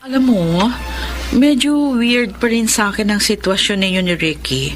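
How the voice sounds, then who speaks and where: A woman's voice: a little high, expressive, moderate pace, over loud music, lightly acted. A woman acting in a radio drama as a nosy, insistent friend, persuasive and conspiratorial, with a Filipino accent. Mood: skeptical. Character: nosy, insistent female friend sowing suspicion. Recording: faint background noise.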